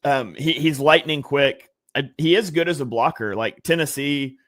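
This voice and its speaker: A man's voice: a little high, moderate pace, fairly bright tone, no room sound, studio quality. Easygoing man breaking down football picks. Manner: conversational, analytical, many fillers and restarts. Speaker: guest draft analyst. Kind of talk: casual sports podcast chat.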